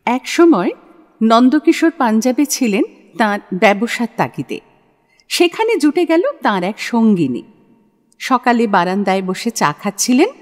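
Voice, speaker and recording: A woman's voice: a little high, very animated, measured pace, darkish tone. A woman narrating a literary story for an audio play. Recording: long hall-like reverb.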